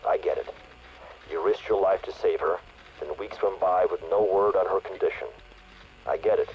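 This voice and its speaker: A man's voice: sits low, normal speed. A man playing a stern, earnest special operations leader briefing troops over the radio in a game. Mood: measured, exasperated. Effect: radio transmission. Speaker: commanding officer. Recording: muffled radio with faint noise.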